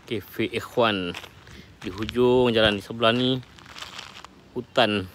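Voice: medium pitch, fairly even intonation, slow. Chatty Malaysian food vlogger, noisy recording. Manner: chatty, inquisitive, repeats answers back. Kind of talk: casual walk-around food commentary. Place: walking outside and inside a roadside cafe. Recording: noisy handheld recording with cafe ambience.

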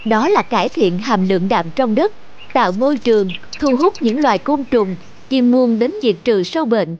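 A woman narrating an animated moral tale in a storytelling style. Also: on the high side, moderate pace, dull audio, slight background noise, close to an everyday voice. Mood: calm narration. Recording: music under the voice. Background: background music.

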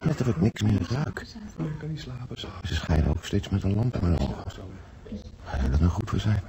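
An actor in a radio play playing a dazed, slow, bandaged hospital patient. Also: male voice, low-pitched, sing-song. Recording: noisy old radio drama recording.

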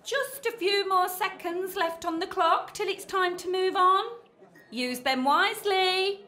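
A very high-pitched event host. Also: female voice, unhurried, pushed and bright, full character voice. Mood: chirpy, instructing. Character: female speed-dating host calling time. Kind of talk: radio play acting.

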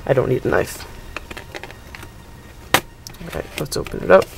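A man's voice: a little high, big pitch swings, unhurried, creaky, noisy background. A young man casually narrating a gadget unboxing, rambling and hesitant with many ums. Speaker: unboxing video host. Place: indoors at a table.

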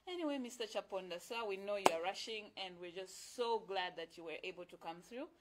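A woman's voice: medium pitch, brisk, very noisy. A young woman with a Zambian accent, hosting a casual TV interview in a studio. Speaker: TV host and ventriloquist.

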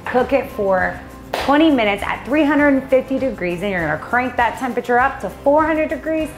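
A woman's voice: sits high, lively intonation, a little fast, reverberant, faint music behind. A woman in her thirties co-hosting a vlog, cheerfully walking through a recipe step by step with small jokes, in a home kitchen. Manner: upbeat, jokey, step-by-step instructions. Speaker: vlog co-host. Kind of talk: casual cooking demo and chat.

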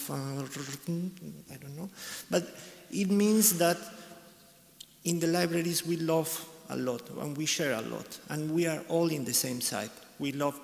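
A man's voice: fairly high pitch, creaky. A young man speaking at a podium in a large conference hall, rousing the audience and then chatting about his library. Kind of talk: short conference story. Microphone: podium microphone. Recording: echoey hall, faint noise.

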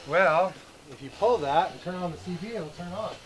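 A man's voice: sits high, animated, moderate pace. A middle-aged man chatting casually and jokily while working, with a folksy manner. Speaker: vlog host doing DIY bus repairs. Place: inside a converted school bus and outdoors beside it. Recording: clear background noise, camera audio.

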